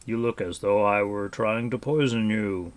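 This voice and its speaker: A man's voice: fairly low pitch, moderate pace, clear, resonant tone, lightly acted. A man voicing a weathered, commanding warrior prince in a storybook, stern yet kindly, speaking with emphatic authority. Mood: reassuring, wry.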